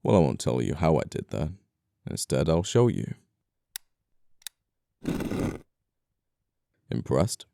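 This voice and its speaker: A man acting as an amoral but apologetic, flirty murderer in an ASMR audio roleplay, speaking with unhurried, polite menace. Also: extremely low pitch, big pitch swings, creaky, mellow, dry recording, clean studio sound, full character voice. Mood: smug confiding.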